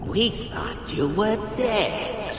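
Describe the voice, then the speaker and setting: An actor playing a cruel, contemptuous villain in a video game, drawing out scornful taunts. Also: male voice, high-pitched, very animated, noisy background, exaggerated voice. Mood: scornful taunting. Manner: drawn-out, scornful. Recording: cavernous echo, loud music behind.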